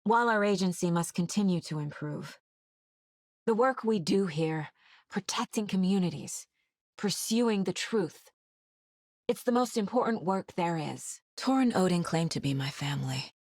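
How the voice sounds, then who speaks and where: An actress playing a determined, wry federal agent investigating supernatural crimes in a video game, measured and matter-of-fact. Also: female voice, fairly low pitch, expressive, a little mellow, dry recording, clean studio sound. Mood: formal. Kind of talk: scripted game dialogue, investigative monologue.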